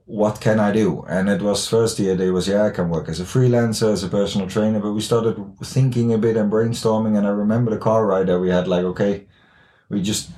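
Mellow young Dutch man in a roomy space. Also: lowish voice, steady tone, a little fast. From the Netherlands. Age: late 20s. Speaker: podcast guest, gym founder. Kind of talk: casual interview chat.

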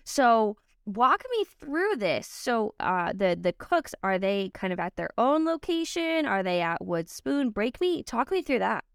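A woman's voice: a little high, sing-song, a little slow, gravelly, hardly any pauses, smooth, no echo, studio quality. A woman hosting a business interview podcast, upbeat and encouraging with her guest. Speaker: podcast host.

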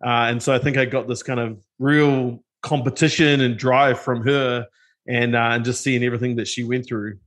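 A man's voice: mid-range voice, fairly even intonation, moderate pace, fairly bright tone. A middle-aged man with a New Zealand accent tells his story as an interview guest. He is loose and self-deprecating, and he restarts his sentences often. His voice sits in an ordinary middle range. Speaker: guest, tech company co-founder.

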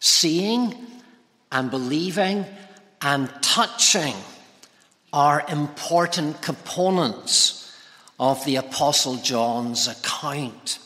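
A man preaching a sermon as a guest speaker, with a measured, deliberate delivery and emphatic phrasing. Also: fairly high pitch, sharp tone. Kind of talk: sermon with prayer. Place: large reverberant church hall. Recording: long hall reverb.

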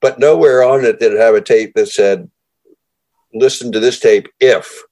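A man's voice: mid-range voice, moderate pace, darkish tone. A man hosting an interview. He is enthusiastic and rambles, with many restarts along the way. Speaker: interview host. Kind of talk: conversational interview.

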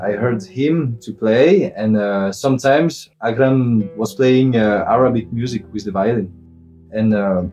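A man's voice: on the low side, warm tone. A man with a French accent telling a story casually in an interview, in hesitant, piecemeal non-native English. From south of France, near Marseille. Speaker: musician interviewee, jazz violinist.

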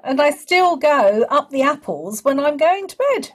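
A woman with a slightly husky voice, interviewing someone in a casual, chatty style. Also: high-pitched, sing-song, a little slow. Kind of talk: casual, jolly chat.

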